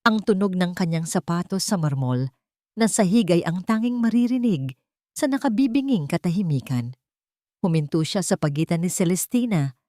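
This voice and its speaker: A woman with a slightly low voice narrates a dramatic story. Her intonation moves widely and expressively, and the recording is dry and close, with no room sound. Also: a little mellow, no echo.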